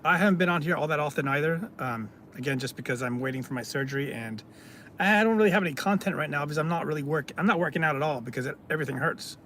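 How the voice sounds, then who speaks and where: A man from California, hosting a casual live stream, reading out viewer comments and answering them in a halting way with many fillers. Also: on the high side, a bit bright, a little hiss or hum. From California, United States.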